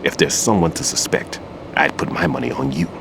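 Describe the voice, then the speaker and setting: An actor playing a seasoned older male bomb expert and wary mentor with a measured, grave delivery. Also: very deep voice, animated, creaky, noisy background, full character voice. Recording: game cutscene with music.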